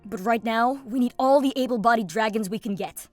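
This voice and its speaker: A woman's voice: on the high side, fairly quick, a little mellow, soft background music, close to an everyday voice. A woman playing a cool, pragmatic female dragon queen in an audio drama, with a commanding manner. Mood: businesslike.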